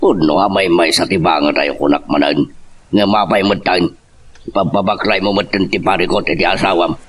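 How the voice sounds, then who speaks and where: A man's voice: sits low, steady tone, moderate pace. A man playing a worried, protective older father in an audio drama, speaking in grave reproach. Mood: stern reproach. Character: protective, worried older father confronting his son-in-law. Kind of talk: Ilocano audio drama acting.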